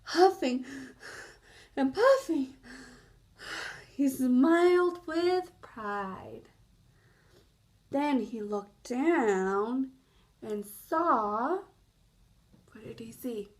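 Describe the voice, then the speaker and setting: Slow, high-pitched woman reading a storybook. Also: animated, a little mellow. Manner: explanatory, asks listeners questions. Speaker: children's storybook reader. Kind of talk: read-aloud storytelling for young children.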